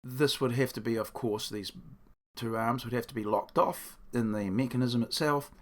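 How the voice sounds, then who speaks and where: A man with a croaky voice explaining his workshop build in a casual, rambling way, correcting himself as he goes. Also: medium pitch, moderate pace. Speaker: woodworking channel host. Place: home workshop.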